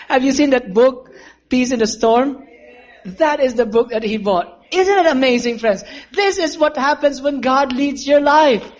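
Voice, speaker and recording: A man preaching a sermon, telling a story and calling his listeners friends. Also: very high-pitched. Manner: earnest, addresses listeners as friends, asks for amens.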